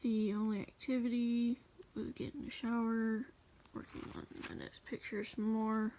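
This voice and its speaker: A woman casually reading her journal aloud as a voice-over, in a rambling, halting way. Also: on the high side, level tone, mellow, slight background noise, poor audio. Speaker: journal flip-through video creator.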